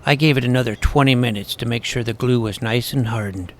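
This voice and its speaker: A man's voice: medium pitch, a little fast, slight background noise. Wry male audiobook narrator. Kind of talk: first-person scripted narration.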